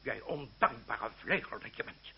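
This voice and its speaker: Blustering older uncle, wildly swooping voice. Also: male voice, medium pitch, animated, measured pace, gravelly, slightly rough, exaggerated voice. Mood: indignant, blustering. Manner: stammering, blustering, exclamatory. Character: hot-tempered, gruff, blustering older aristocratic uncle. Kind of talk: Dutch radio drama acting. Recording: muffled, noisy, humming old radio recording.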